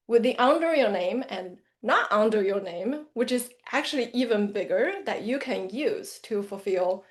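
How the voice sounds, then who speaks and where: Earnest woman with a Chinese accent. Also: medium pitch. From central China. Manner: earnest, reflective. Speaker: financial planner, guest. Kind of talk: interview answers.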